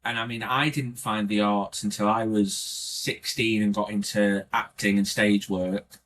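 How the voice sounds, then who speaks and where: A man's voice: on the low side, level tone, measured pace, fairly bright tone. A man from North Wales with a North Welsh accent, an indie filmmaker guest talking in an earnest, reflective way and often restarting his sentences. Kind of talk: casual podcast interview.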